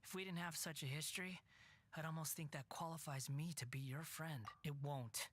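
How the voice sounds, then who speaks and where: A man's voice: a little high, fairly even intonation, normal speed. A man voicing a proud, bitter young wanderer in a video game, speaking coolly and sardonically. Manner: cold, sardonic, quiet. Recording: game audio with soft music.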